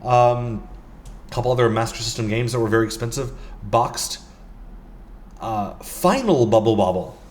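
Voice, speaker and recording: A man's voice: mid-range voice, moderate pace. A man casually talking through items in a game shop, rambling with lots of ums and uhs. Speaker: vlogger. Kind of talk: casual walkthrough commentary.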